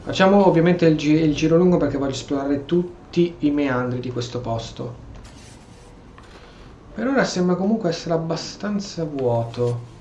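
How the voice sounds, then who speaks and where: A man's voice: on the high side, fairly quick, warm tone, lo-fi sound. A man with an Italian accent giving casual commentary as he plays a video game. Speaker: gaming streamer. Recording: echoey room, faint game noise.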